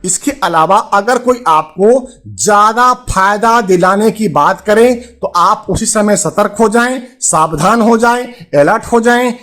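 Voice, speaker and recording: High-pitched Indian man presenting advice. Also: fairly bright tone. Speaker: host of a finance and insurance advice channel. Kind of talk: presenter addressing viewers with a consumer alert.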